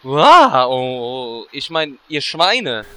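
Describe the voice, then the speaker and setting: A man's voice: mid-range voice, big pitch swings, very slow, very noisy. A man voicing a crude, wisecracking male adventurer who makes sardonic comments.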